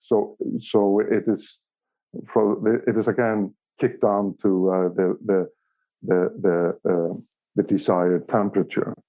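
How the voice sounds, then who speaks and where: A man with a fairly deep voice and an Icelandic accent talks over a narrow, phone-like connection. He gives casual interview answers haltingly, often repeating a word while he finds the next phrase. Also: flat delivery. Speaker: interview guest, company operations director. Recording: thin phone-like call audio.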